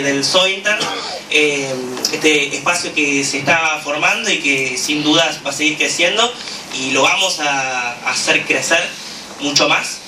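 A man with an Argentine accent giving an impassioned political speech at a gathering, full of "eh" pauses. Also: fairly high pitch. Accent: Argentine Spanish. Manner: fervent, with frequent "eh" fillers. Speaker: activist speaker at a political gathering. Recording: echoey room, from a distance, noisy.

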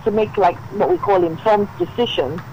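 A woman, a dermatologist, calling into a radio show and explaining calmly, with many "you know"s. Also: fairly low pitch, moderate pace, slight background noise, poor audio. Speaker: dermatologist guest.

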